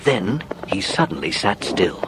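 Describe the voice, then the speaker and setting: A man's voice: low voice, very animated, measured pace, background noise. A man narrating a children's story from a script, as in an audiobook drama.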